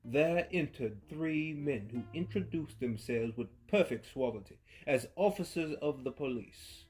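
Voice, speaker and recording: A man giving a theatrical reading of a story, swinging from hushed to loud. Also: a little high, expressive, measured pace, slightly rough. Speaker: narrator. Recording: faint music behind.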